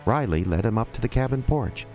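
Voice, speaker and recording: Guy narrating an audio drama, dark mellow voice, bit of music behind him. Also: mid-range voice, animated, fairly quick.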